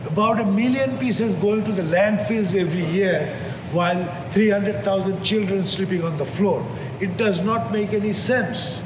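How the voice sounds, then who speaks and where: A middle-aged man from Bangladesh speaks with earnest passion, restarting his sentences as he goes. His voice sits a little high for a man. He is heard from a distance in a large echoing hall, with steady background noise. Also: moderate pace. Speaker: charity executive director. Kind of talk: interview answers. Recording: echoey hall, far from the mic, noisy.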